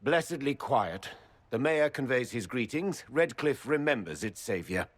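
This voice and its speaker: An actor playing a stern, formal nobleman envoy in a fantasy game, measured and official in manner. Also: mid-range voice, expressive, no echo. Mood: courteous.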